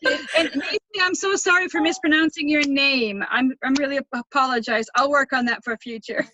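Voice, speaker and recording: Woman hosting a video call, bright, slightly high voice, friendly. Dull, dry lo-fi audio. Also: fairly even intonation, a little fast, slight background noise. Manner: friendly, apologetic, brief remarks. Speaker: meeting host.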